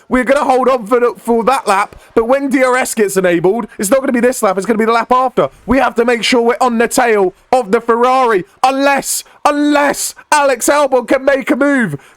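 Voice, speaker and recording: A young man commentating his own racing game in a rapid, excited way, shouting at the finish and losing his voice. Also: very high-pitched, no room sound, studio quality. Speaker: gaming YouTuber. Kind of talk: excited race commentary over gameplay.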